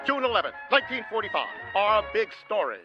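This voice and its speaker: An actor playing a brisk, formal 1940s radio newsreader. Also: male voice, high-pitched, very animated, loud music behind the voice. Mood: brisk announcing. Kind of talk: 1940s radio news acting. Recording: dull vintage radio broadcast.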